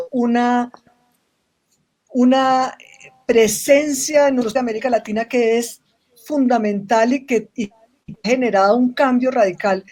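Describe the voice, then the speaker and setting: A woman's voice: a little high, darkish tone. Colombian woman musing over a video call. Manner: reflective, frequent restarts, tag questions. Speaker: webinar guest. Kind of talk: casual interview conversation.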